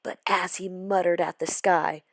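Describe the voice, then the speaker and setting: Casting: woman storybook reader, expressive, slow-paced, close dry sound. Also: on the low side, vocal fry, no echo. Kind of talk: scripted children's story narration.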